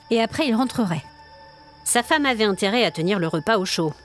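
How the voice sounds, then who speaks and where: A woman storyteller reading scripted narration for a dramatic audio tale. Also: mid-range voice.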